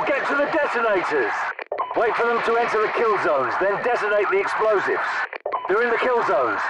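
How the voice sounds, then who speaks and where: An actor playing a gruff, commanding British special forces captain in a video game, giving terse, urgent combat orders. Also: male voice, high voice, distant mic, heavy background noise. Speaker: military squad leader in a video game. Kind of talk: video game battle chatter.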